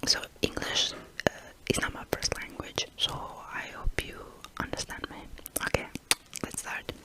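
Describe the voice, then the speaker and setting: A woman with a Croatian accent whispering ASMR, chatty and a bit hesitant, with light giggles. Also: rough recording. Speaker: ASMR collab guest.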